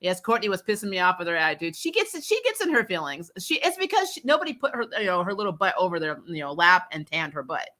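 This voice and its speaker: A woman's voice: fairly low pitch, big pitch swings, fast, few pauses, sharp tone. A woman hosting a casual fan livestream, giving candid, emphatic opinions in slangy, chatty commentary. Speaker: host of a fan recap livestream.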